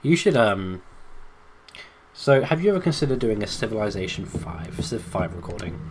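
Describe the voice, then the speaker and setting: Brief: low, animated man riffing over a horror game, cursing, in a noisy home recording. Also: normal speed. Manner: joking, swearing, self-mocking. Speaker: let's play co-host. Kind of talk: casual gameplay commentary.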